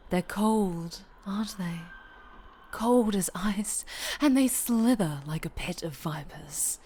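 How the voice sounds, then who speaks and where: Woman acting a slow, menacing, possessive dark superheroine. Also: mid-range voice, slight background noise, close to an everyday voice. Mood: cold, menacing. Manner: slow, halting, menacing delivery, often dropping into whispers. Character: possessive, obsessive, sinister female superhero, a dark angel captor. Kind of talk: ASMR roleplay acting.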